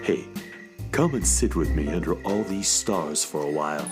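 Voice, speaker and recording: A man playing an easygoing, fatherly beatnik cat in a children's radio play, relaxed and reassuring. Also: deep voice, normal speed, music in the background. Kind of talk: children's radio theater acting.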